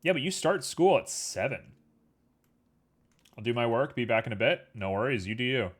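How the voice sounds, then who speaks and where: This is a man of ordinary pitch talking into a desk mic at his computer, keeping up an easygoing chat with his stream viewers. He rambles along with plenty of fillers. His voice is a little sniffly. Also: lively intonation, normal speed. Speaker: livestreamer. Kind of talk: casual chat while working on a 3D model.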